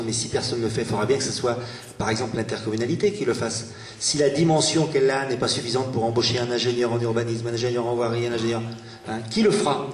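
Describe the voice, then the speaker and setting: A man speaking as a local elected official at a public meeting, posing rhetorical questions and pausing hesitantly. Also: mid-range voice. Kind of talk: political speech at a public meeting. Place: large reverberant meeting room. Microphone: distant microphone. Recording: echoey hall, distant mic, faint noise.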